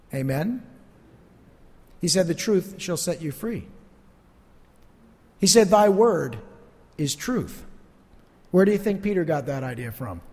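Male pastor, high voice, earnest emphatic sermon, long church reverb. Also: darkish tone. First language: not Spanish. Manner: earnest, emphatic, preacherly with pauses. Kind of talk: sermon teaching. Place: church sanctuary.

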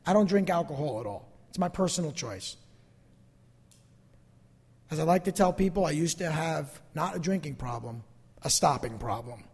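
High-voiced male preacher in a reverberant hall. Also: lively intonation, moderate pace, long pauses, darkish tone, slightly rough. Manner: emphatic, conversational, repeats phrases for emphasis. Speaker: pastor preaching. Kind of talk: sermon to a congregation, closing in prayer.

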